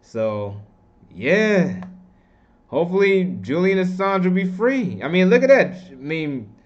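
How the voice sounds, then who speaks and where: Higher-pitched man, casual rambling commentator. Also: big pitch swings, a little slow. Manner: rambling, slangy, informal. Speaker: online political commentator. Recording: dull, muffled lo-fi audio.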